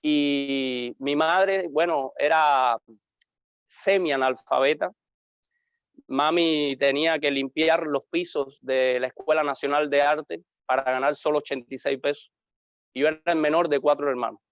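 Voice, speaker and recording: Cuban male pastor, clear and smooth voice, earnest reflective testimony, dull dry audio. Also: fairly high pitch, fairly even intonation, no echo. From Cuba. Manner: earnest, reflective storytelling with pauses. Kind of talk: interview, personal testimony.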